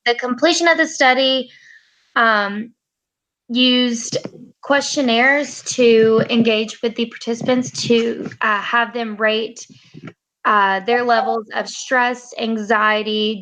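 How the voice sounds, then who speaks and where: A woman in her twenties giving a class presentation, reading from notes with frequent fillers and pauses. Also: a little high, fairly bright tone, low-quality recording. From United States. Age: 20s. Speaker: graduate student presenter.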